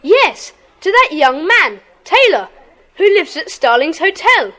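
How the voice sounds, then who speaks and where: A woman's voice: very high voice, sing-song, takes it slowly, sharp tone, heavily acted. A woman playing an earnest, proud schoolboy who leads a secret club in an audiobook drama. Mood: earnest, eager. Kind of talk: audiobook radio-play dramatisation.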